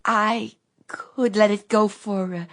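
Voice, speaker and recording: Timid, slow, faltering woman. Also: mid-range voice, fairly even intonation. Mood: timidly hopeful. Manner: hesitant, faltering, apologetic. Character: timid, needy, grateful woman selling junk. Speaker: radio drama actor. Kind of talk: radio drama acting.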